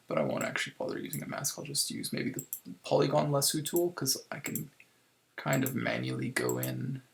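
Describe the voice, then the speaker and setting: A man giving a casual software tutorial step by step, thinking aloud informally as he works, in a creaky, gravelly voice. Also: mid-range voice. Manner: informal, improvised, thinking aloud while working. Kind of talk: casual screen-recorded tutorial, explaining step by step.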